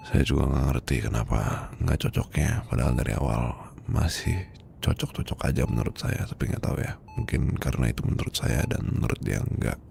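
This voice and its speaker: A man playing a weary, heartbroken boss confiding from his sickbed in an ASMR roleplay, with an Indonesian accent and a hesitant, breathy, murmuring delivery. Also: a bass voice, darkish tone, slight background noise, full character voice. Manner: hesitant, murmuring, halting, sighing.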